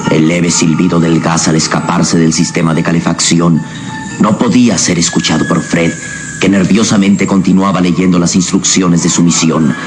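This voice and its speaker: Deep-voiced man narrating a suspenseful radio serial. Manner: suspenseful, theatrical, deliberate phrasing. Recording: dramatic music over the voice. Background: clear background music.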